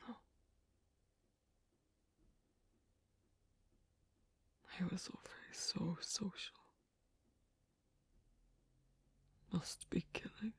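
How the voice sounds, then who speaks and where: A man playing a gentle, worried royal of a fantasy kingdom in an ASMR story roleplay, speaking in a breathy, husky whisper with halting, formal phrasing. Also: sits high, fairly even intonation, full character voice. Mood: pained, concerned.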